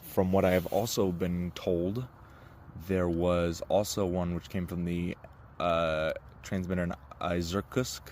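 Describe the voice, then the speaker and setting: A man's voice: low voice, level tone, a little slow, darkish tone. A teenage male radio hobbyist giving casual, explanatory commentary in a slightly hesitant way. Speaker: shortwave radio hobbyist narrator. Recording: noisy, radio static and hum behind. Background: shortwave radio noise.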